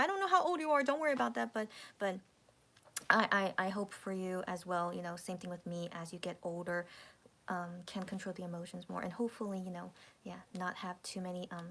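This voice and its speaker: A woman's voice: medium pitch, a little fast, a bit bright, a little hiss or hum. Woman, 30s, livestream host; casual rambling talk with restarts and whispered asides. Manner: rambling, many restarts and fillers, drops to whispers. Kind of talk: casual chat with viewers.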